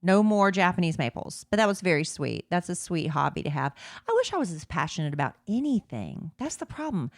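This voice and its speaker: A woman's voice: fairly low pitch, very animated, a little fast, hardly any pauses, no room sound. A middle-aged woman co-hosting a podcast with dry, teasing quips, who also reads the ads. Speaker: podcast co-host. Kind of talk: casual banter and read ad.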